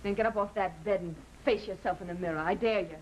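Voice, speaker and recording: A woman's voice: medium pitch, quick pace, lightly acted. A woman playing a weary, bitter, discontented wife in an old-time radio drama, with a theatrical, accusing delivery. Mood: scornful. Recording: noisy vintage radio recording.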